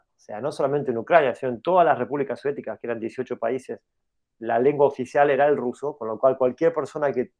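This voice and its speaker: A man's voice: mid-range voice, a little mellow. A middle-aged man who grew up in New York, explaining at length as an interview guest, hesitant with many fillers and restarts. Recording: dull lo-fi audio.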